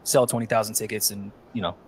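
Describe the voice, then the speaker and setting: A man co-hosting a talk show, chatting and asking questions in a relaxed way. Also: fairly low pitch, quick pace, slight background noise. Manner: questioning, conversational. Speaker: podcast co-host. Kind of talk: casual chat.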